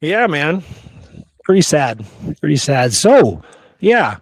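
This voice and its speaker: A man's voice: a little high, very animated, slow, no room sound. A man co-hosting a history podcast, telling old stories in a casual, colloquial way. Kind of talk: casual conversational history storytelling.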